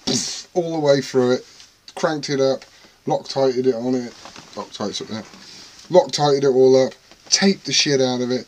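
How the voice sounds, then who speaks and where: A British man vlogging casually from his radio shack, rambling, swearing freely and poking fun at himself. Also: mid-range voice. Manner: sweary, self-deprecating, rambling. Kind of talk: casual rambling chat to camera.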